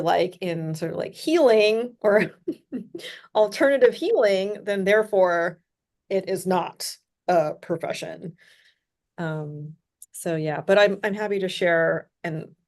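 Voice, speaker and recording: A woman with a somewhat low voice hosts a warm-paced podcast conversation. She talks reflectively, leaning on "you know" and "right?" as she thinks aloud. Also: creaky. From Hong Kong. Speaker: podcast host. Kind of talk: conversational interview.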